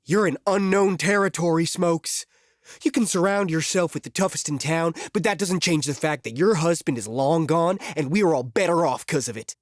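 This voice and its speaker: A woman's voice: low voice, moderate pace, no echo, clean studio sound, lightly acted. A woman playing a scornful, defiant western troupe member in an audio drama.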